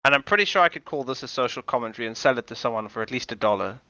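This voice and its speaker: Bright-voiced sarcastic man on a headset. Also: mid-range voice, expressive, rapid-fire, no room sound, muffled recording. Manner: dry, sarcastic jokes. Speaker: co-host. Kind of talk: casual reaction chat. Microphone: wireless headset.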